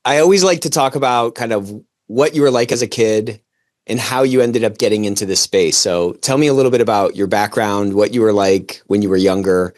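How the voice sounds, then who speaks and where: A man hosting a podcast interview, asking questions in a relaxed, easygoing way. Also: on the low side, fairly quick. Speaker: podcast host. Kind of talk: casual interview.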